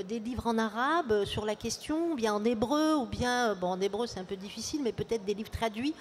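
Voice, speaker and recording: A woman interviewer asking long, winding questions with many fillers at a book fair. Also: on the high side. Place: book fair at a city hall.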